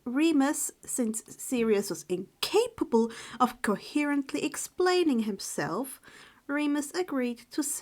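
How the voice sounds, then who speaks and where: A woman speaking in a hushed, subdued voice, halting and correcting herself as she chats and reads stories aloud. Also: high voice. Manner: halting, stumbling over words and correcting herself. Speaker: storyteller reading fan fiction aloud for a channel. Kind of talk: informal chat, then read-aloud narration.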